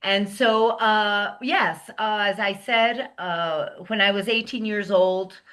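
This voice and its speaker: A middle-aged woman, a guest on an interview show, talking in a relaxed way and often pausing, restarting and searching for words. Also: mid-range voice, clean tone. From United States. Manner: slow, searching for words, many fillers and restarts. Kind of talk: casual interview talk.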